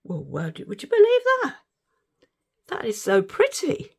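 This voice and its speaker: Husky, gravelly-voiced woman. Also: mid-range voice, huge pitch swings, unhurried. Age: middle-aged. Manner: chatty, giggly, easily delighted. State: losing her voice. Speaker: resin art vlogger. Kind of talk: casual craft demonstration.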